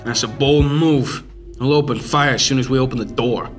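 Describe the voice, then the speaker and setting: A man's voice: mid-range voice, steady tone, moderate pace, over music, natural voice. A young man playing a wary, protective sidekick in a radio mystery drama. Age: young adult.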